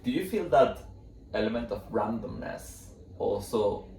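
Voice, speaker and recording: A man from Venezuela with a Venezuelan Spanish accent, interviewing in a casual, reflective way with halting, searching phrasing. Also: on the low side, expressive, creaky, darkish tone. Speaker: podcast host, interviewer. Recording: echoey room, distant mic, background noise.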